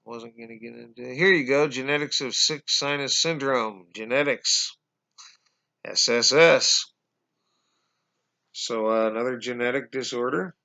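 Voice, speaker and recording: Man with a fading, gravelly voice. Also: medium pitch, bright, forceful tone. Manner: halting, many fillers and restarts, addresses viewers as guys. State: losing his voice, coughing. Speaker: conspiracy vlogger. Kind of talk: casual explanatory monologue. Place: small indoor room at home. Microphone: webcam. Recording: dull lo-fi webcam audio.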